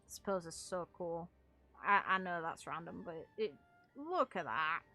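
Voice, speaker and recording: Casting: female gaming commentator, sharp bright tone, blunt opinionated chat with swearing, dry close recording with faint music behind. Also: lowish voice, lively intonation, a little slow. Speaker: game streamer and video creator.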